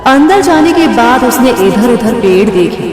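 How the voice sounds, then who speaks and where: A woman's voice: on the high side, very animated, a little slow, darkish tone. A woman narrating a scripted children's story. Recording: echoey reverb, music and crowd sounds behind.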